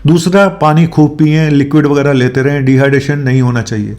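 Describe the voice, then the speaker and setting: A man's voice: on the high side, steady tone, brisk, darkish tone. A man speaking as a doctor on his health show, explaining informally with English medical terms mixed in and frequent tag questions.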